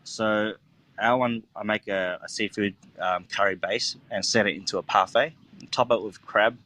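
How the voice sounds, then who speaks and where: A relaxed Australian man in his thirties talks about his cooking in a loose, meandering way, full of "like" and "you know". His voice sits a little low. He sounds easygoing and unpolished, as in a casual interview. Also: moderate pace, low-quality recording. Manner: relaxed, rambling, many fillers like "like" and "you know". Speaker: chef and restaurant owner.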